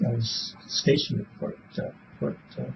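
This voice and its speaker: Muffled, noisy recording of a halting older man. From born in Belfast, Northern Ireland; raised in Illinois from age seven. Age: 70s. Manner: halting, repeats words, trails off. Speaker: interviewee in a police polygraph interview. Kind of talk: casual, rambling spoken answers. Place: small police interview room.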